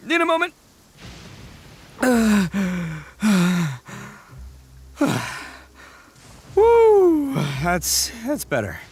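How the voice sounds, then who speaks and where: Brash, wildly animated oni gang boss. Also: male voice, high voice, extremely slow, gravelly, pauses often, breathy edge, heavily acted. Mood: winded. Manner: blustering, stammering, theatrical. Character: brash big-hearted young male oni gang boss, blustering. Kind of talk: video game voice acting.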